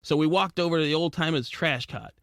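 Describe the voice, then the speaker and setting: A man's voice: a little high, fast talker, a bit bright, dry recording, clean studio sound. An actor playing a plucky, wisecracking penguin detective who tells his case in slangy hard-boiled style for a comic audio drama. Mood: narrating, wry. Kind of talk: scripted comic noir narration and dialogue.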